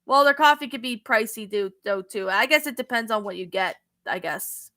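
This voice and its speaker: A woman's voice: a little high, animated, normal speed, bright, forceful tone. A young woman with a Canadian accent, chatting casually on a live stream and answering viewers' comments with many ums and restarts. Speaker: streamer reading chat.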